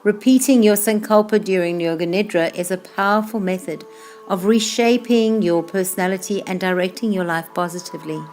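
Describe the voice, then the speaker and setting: A woman leads a guided relaxation as a yoga instructor, with music playing behind her. Her pitch sits in the middle for a woman. She speaks slowly and soothingly, letting the ends of phrases fade softly. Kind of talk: guided meditation, slow calm instruction.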